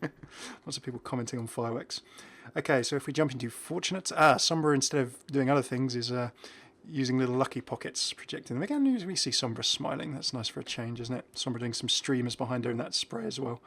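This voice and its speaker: Man, mid-pitched, casual gaming commentary, chatty, thinking out loud. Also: brisk, breathy edge. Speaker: gaming video host. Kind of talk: casual game update commentary.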